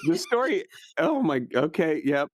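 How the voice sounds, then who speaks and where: A man playing a tabletop game, chatting in character in a casual, halting, comically exasperated way. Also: on the high side, big pitch swings. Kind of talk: casual improvised role-play chat.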